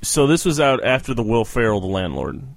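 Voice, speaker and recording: Male podcast host, very quick delivery, mid-range pitch, teasing and blunt, casual interview questioning. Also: big pitch swings, vocal fry, no room sound.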